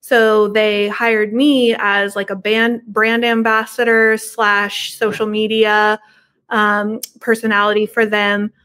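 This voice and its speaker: A woman's voice: mid-range voice, little pitch movement, slow, fairly bright tone. A middle-aged woman chatting casually and informally as a podcast guest on a video call from her home. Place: living room at home.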